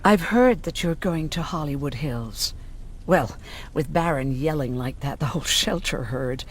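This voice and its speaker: An actress playing a wistful civilian survivor in a video game, husky and hesitant, as if confiding. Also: female voice, deep voice, animated, measured pace, full character voice. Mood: tentative.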